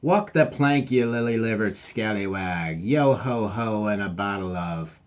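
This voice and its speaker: A man voicing an eager, bossy female dog who plays pirate, speaking loud and excited in a higher voice. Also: measured pace. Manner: loud, excited, sing-song.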